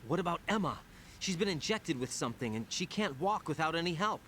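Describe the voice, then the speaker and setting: An actor playing a young male operative who speaks quietly and levelly. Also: fairly high pitch, expressive, a little fast, slight background noise.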